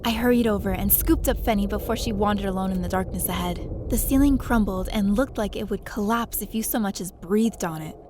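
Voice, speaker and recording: A woman's voice: medium pitch, a little fast, few pauses, noisy background, lightly acted. A woman playing an eager, naive young adventurer who narrates her own story in an audio drama. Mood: narrating. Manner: animated, chatty, naive. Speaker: lead character and narrator.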